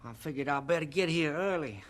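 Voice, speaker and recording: A man's voice: on the high side, expressive, fairly quick, fairly bright tone. An actor playing a loyal but uneasy young male lab assistant in a radio drama. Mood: dutiful.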